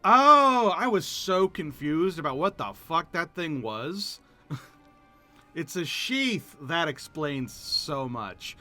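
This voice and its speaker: Male game streamer, high bright voice, lively casual delivery, light music underneath. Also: takes it slowly. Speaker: streamer playing a fantasy video game. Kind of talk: casual gameplay chat, reading dialogue aloud.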